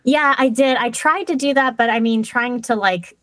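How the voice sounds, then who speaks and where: A woman's voice: fairly high pitch, normal speed, a bit bright, no echo. A woman who speaks English as her first language, a guest chatting in an interview and describing her family's old business in rich detail, with fillers and small laughs. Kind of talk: casual storytelling in an interview.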